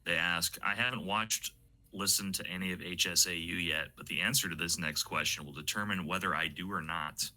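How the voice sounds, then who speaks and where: A woman's voice: rumbling low, fairly even intonation, brisk, bright, forceful tone. A young woman hosting a casual interview, speaking informally and hesitantly, repeating words as she goes. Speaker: interviewer, project creator.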